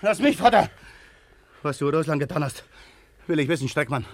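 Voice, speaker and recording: An actor playing an agitated, protective man in a German radio drama, husky, insistent and demanding. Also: on the high side, lively intonation, normal speed, dry recording. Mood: stern, demanding.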